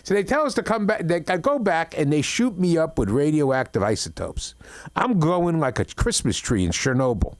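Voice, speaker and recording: A man's voice: fairly high pitch, normal speed, no room sound. Rambling middle-aged man doing stand-up. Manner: rambling, repeats words and restarts, deadpan complaints. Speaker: stand-up comedian. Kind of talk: open mic stand-up set. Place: comedy club open mic.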